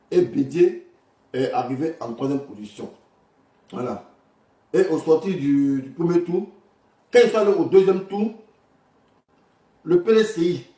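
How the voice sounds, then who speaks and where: A man's voice: fairly high pitch, dark tone, distant mic. A man giving casual, improvised political commentary in an insistent, repetitive, colloquial way. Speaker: online commentator reacting to political clips. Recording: echoey room, off-mic.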